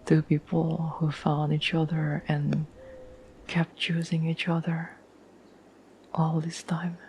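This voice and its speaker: Casting: actress as devoted, soothing girlfriend for ASMR roleplay, breathy whisper, mellow and even, faint background noise. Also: deep voice, low-quality recording. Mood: tender, earnest. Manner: gentle, slow, halting, earnest. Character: tender, devoted girlfriend reassuring an anxious partner.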